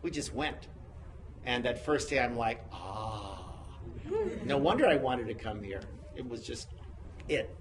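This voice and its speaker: A man in his sixties from the United States, a guest chatting in an interview who tells stories in an animated, self-mocking way. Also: mid-range voice, a little slow, long pauses. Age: 60s. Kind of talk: casual interview chat. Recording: noisy room sound.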